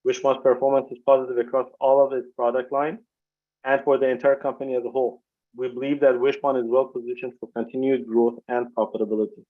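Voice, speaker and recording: A man reading prepared financial remarks as a company executive, formal and measured, on a video conference call. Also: mid-range voice. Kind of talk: scripted corporate earnings presentation. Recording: dull, lo-fi conference call audio.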